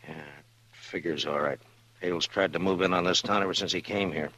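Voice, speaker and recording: Terse frontier marshal, very deep, forceful, old-time radio. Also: male voice, brisk, breathy edge, dry recording, full character voice. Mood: stern. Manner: terse, calm, firm. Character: stern, steady frontier marshal. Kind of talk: 1950s radio drama acting.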